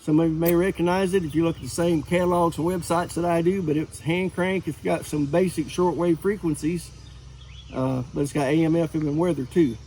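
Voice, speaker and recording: Casting: middle-aged man, voice on the higher side, folksy and plain-spoken vlog host, casual teaching delivery, recorded with noise in the background. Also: fairly even intonation, brisk, darkish tone, low-quality recording. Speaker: host of a preparedness channel.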